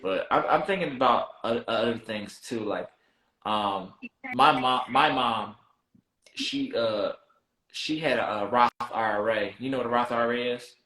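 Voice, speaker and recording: A man's voice: medium pitch, measured pace, bright, forceful tone. A man in his thirties asking for advice in a casual chat, rambling and restarting his sentences. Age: 30s. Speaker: guest seeking advice. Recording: roomy echo.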